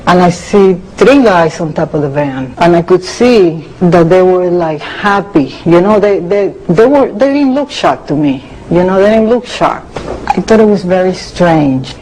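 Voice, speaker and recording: An eyewitness giving a halting, repetitive interview account of events. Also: medium pitch, lively intonation, darkish tone, a little hiss or hum, low-quality recording.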